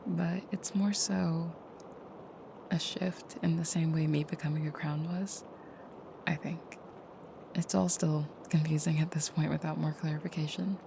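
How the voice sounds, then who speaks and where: Woman doing a gentle vampire gf roleplay, soft hesitant near-whisper, lots of fry, noisy hiss behind her. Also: low voice, steady tone, a little slow. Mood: hesitant, confiding. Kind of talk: intimate audio roleplay, comforting the listener.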